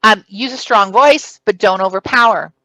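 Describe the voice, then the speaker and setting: A woman's voice: medium pitch, normal speed, sharp tone, dry recording, low-quality recording. A woman presenting a conference session on interview preparation. She speaks in a strong, projected voice and lays out emphatic, numbered points. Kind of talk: recorded conference talk giving career advice.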